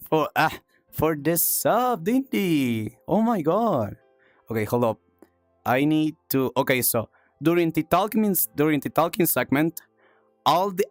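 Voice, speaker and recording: A man's voice: a little high, no room sound. Upbeat coughing male streamer over music. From South America. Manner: upbeat, repeats phrases, thanks viewers warmly. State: coughing, chest congestion. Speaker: streamer and talk show host. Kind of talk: casual livestream chat with viewers.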